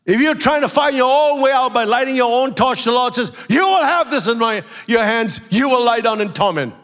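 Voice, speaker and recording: Pastor guy preaching, kinda nasal from a flu, high voice, gets loud and drawn out on the big points. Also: lively intonation. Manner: preaching, rising to shouts and slowing for emphasis. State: has a flu. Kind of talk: sermon.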